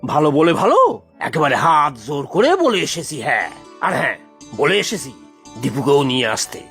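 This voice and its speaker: A boastful, food-loving cartoon father acted by a woman blusters and exclaims his way along. The pitch swoops wildly from low to high, and music plays underneath. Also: sing-song, a little fast, fairly bright tone, husky, exaggerated voice. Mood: eager, emphatic. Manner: blustering, exclaiming, tacks on emphatic tag words. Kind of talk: exaggerated cartoon voice acting.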